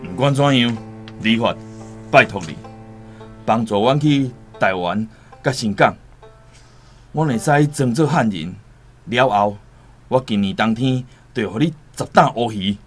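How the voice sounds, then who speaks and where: Earnest male islander, pleading, Taiwanese accent, radio drama, mid pitch, plucked strings clearly behind. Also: a little slow, long pauses. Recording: music behind the voice. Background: plucked-string music.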